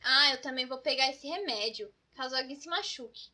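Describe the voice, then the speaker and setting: A woman with a Brazilian accent voicing an excitable, scatterbrained best friend in a children's comedy cartoon, dramatic and full of exclamations. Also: high voice, brisk. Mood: fretting. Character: excitable, scatterbrained, over-prepared young female best friend.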